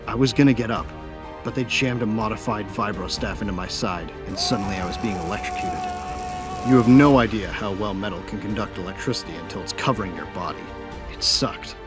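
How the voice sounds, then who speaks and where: An actor playing a sardonic, hot-tempered young bounty hunter who narrates an audio drama in a wry, informal way. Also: male voice, lowish voice, fairly quick, lightly acted. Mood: angry, wry. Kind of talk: first-person scripted narration of an audio drama. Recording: music behind the voice.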